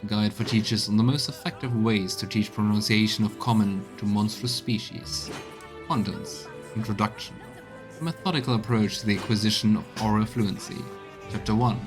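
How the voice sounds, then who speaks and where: A man's voice: on the low side, moderate pace, over music. A man streaming a game, reading texts aloud and musing on them in gameplay commentary. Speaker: let's play streamer.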